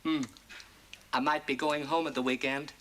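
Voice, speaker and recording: A comedian man doing a stand-up routine as a pretend phone call to his mother, firing off quick one-liners. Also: a little high, steady tone, fairly bright tone, a little hiss or hum.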